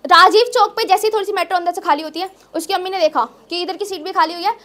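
Very high voice, young woman, stand-up comic, conversational, repeats phrases for emphasis. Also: steady tone, quick pace, fairly bright tone. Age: young adult. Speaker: stand-up comedian. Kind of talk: observational stand-up comedy. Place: small indoor open-mic stage. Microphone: handheld microphone.

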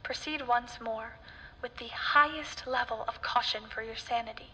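Woman as grave, haunted scholar, bright voice. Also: a little high, husky, low-quality recording. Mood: foreboding. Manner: solemn, deliberate, foreboding. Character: grave, haunted scholar recounting horrors, ominous. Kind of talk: radio drama, scripted horror narration.

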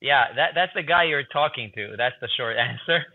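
A man's voice: medium pitch, moderate pace, no room sound. A man in his thirties with a Croatian accent, a guest researcher answering interview questions in a chatty way with many fillers and repeats, over a video call from a room with a whiteboard. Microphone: video call earbuds. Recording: tinny phone-like call audio, dry.

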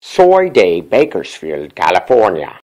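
Larry, a woman doing a deep-voiced schoolboy. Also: sing-song, slow pace, mellow, heavily acted. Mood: friendly. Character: schoolboy student Larry, young and friendly.

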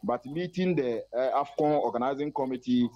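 A man's voice: medium pitch, crowd noise. Man reporting by phone. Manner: fast, fluent report mixing Twi and English. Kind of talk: live phone-in news report. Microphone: phone line. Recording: muffled phone line.

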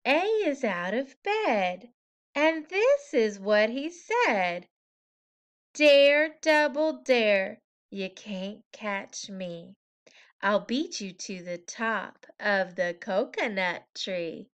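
A woman preschool teacher reading a picture book aloud to young children in a playful, expressive, sing-song manner. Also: mid-range voice, extremely slow, clean tone. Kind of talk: animated children's story read-aloud.

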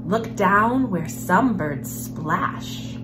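A young woman hosting a children's story time, reading a picture book aloud in an expressive storyteller's manner. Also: medium pitch, extremely slow. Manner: expressive, animated storyteller, with hushed passages. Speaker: host of a children's read-aloud series. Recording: music behind the voice. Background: background music.

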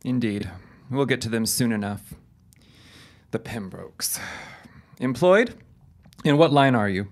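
An actor playing a brisk, wry police detective in a period audio drama, with a formal, clipped way of talking. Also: male voice, mid-range voice, lively intonation.

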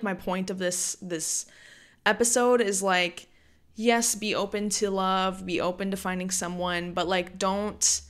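A woman in her late twenties hosting a solo podcast, talking casually with lots of "like" fillers. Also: mid-range voice. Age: late 20s. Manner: chatty, frequent "like" fillers. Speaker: podcast host.

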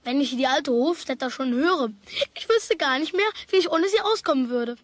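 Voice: sits high, animated, moderate pace, dry recording. An actor playing a stubborn, jealous schoolboy in a children's radio play, grumbling indignantly to himself. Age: child figure.

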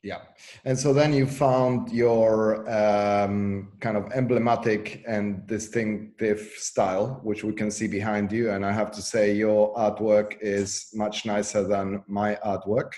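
Man, forties, fairly low voice, relaxed interviewer, video call. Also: slow. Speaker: host.

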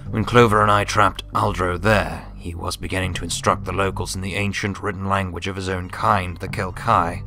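Man as a grave, low-voiced old wizard. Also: level tone, vocal fry, bright, forceful tone, faint music behind, exaggerated voice. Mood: deliberate explaining. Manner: formal, deliberate, subdued. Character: grave, scholarly old male wizard pony writing journal.

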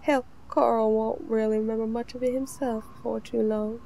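A woman's voice: a little high, little pitch movement, moderate pace, gravelly, dark tone, a little hiss or hum. A woman playing a worried, wistful mother among survivors in a comic dub, speaking pensively. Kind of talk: amateur comic-dub voice acting.

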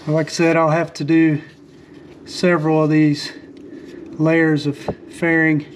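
A man with a high voice talks through his repair work on a boat deck in an easygoing, halting way, full of "uh"s and restarts. He is recorded outdoors on a first-person camera mic, with a faint hiss behind him. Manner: relaxed, plainspoken, frequent "uh" fillers and restarts. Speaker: boat refit vlogger. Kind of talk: casual how-to narration while working.

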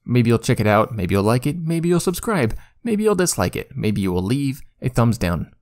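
Casual male YouTuber for screen-recorded tutorial narration; mid-pitched, informal, self-deprecating. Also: sing-song, moderate pace, darkish tone.

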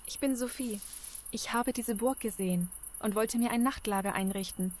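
Woman playing a high-pitched, pleading fugitive. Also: normal speed, darkish tone, a little hiss or hum, lightly acted. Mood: shaken. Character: pleading, frightened female fugitive in zombie apocalypse. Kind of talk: German audio drama acting.

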